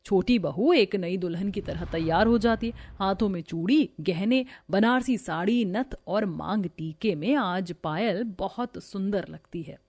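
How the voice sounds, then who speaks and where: A woman narrating a scripted animated family story. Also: mid-range voice, measured pace, hardly any pauses. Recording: music behind the voice.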